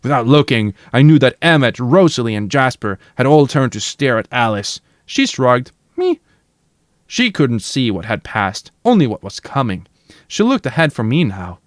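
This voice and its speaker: A man's voice: medium pitch, very animated, moderate pace, no echo, clean studio sound. A man reading a novel aloud for his stream audience, narrating dramatically and chatting casually and slangily between passages.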